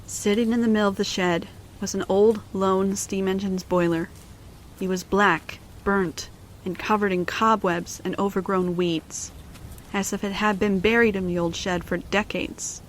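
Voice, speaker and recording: A woman's voice: mid-range voice, steady tone, a little slow, slight background noise, rough recording. A woman reading scripted storybook narration for an audio drama.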